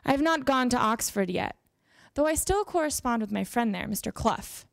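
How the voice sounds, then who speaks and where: Young woman reading aloud, creaky voice. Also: fairly high pitch, animated, normal speed, no echo, clean studio sound. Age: young adult. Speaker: dramatic reader at a library event. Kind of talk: dramatic reading of letters aloud. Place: indoor meeting room with audience. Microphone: handheld microphone.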